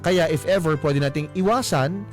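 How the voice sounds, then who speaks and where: A man's voice: on the high side, moderate pace, faint music behind. A Filipino man hosting a radio advice show, counseling conversationally and explaining things with frequent rhetorical questions. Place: radio studio.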